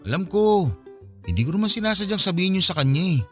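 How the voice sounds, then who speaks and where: A frustrated young man in a radio drama, quick and emphatic. His pitch swings widely as he complains, over soft music. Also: expressive. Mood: regretful. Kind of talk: Filipino radio drama acting.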